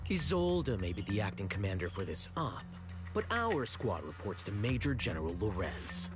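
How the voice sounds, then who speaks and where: An actor playing a haughty young rookie soldier in a video game, condescending and defensive. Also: male voice, fairly low pitch, huge pitch swings, normal speed, music in the background. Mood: formal.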